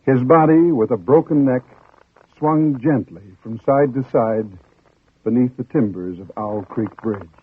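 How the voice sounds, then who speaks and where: An actor playing a grave storyteller in a 1950s-style radio drama narration. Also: male voice, mid-range voice, unhurried, breathy edge. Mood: grim. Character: grave storyteller announcing a hanged man's death. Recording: tinny, noisy old radio recording.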